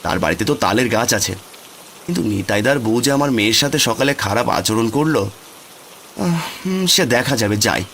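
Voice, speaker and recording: Man as a gentle, animated village father. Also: medium pitch, fairly quick, a little hiss or hum. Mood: dejected. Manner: coaxing, humble, plaintive.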